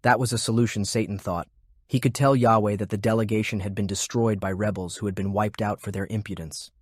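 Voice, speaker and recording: A man reading scripted fiction narration in a measured, deliberate way. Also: on the low side, steady tone, dry recording, clean studio sound. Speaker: audiobook narrator. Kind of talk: scripted narration of a fantasy war novel.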